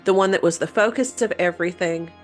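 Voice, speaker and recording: Woman w lowish voice telling a spooky story on a show, chatty style, bit of music under it. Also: quick pace. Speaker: co-host telling a paranormal story. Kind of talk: conversational storytelling.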